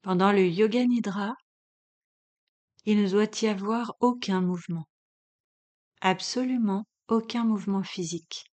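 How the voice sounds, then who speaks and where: A woman leading a guided yoga relaxation as an instructor, speaking calmly and in measured instructions with long pauses. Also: medium pitch.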